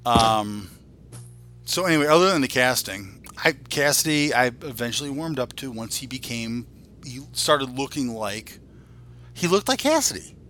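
A man's voice: mid-range voice, lively intonation, normal speed, bright, forceful tone, a little hiss or hum. A man in his forties hosting a podcast with casual, swearing banter and a blunt, joking manner. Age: 40s. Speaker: podcast host.

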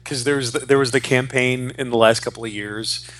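A man's voice: mid-range voice, steady tone, a little fast, fairly bright tone, soft background music. A man in his forties co-hosting a casual pop culture discussion, speaking emphatically, repeating phrases for stress and swearing freely. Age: 40s. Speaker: podcast co-host.

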